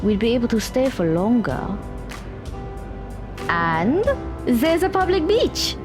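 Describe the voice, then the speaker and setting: A woman's voice: a little high, very animated, slow pace, music in the background. A woman playing a practical, blunt young woman in an audio drama, speaking matter-of-factly.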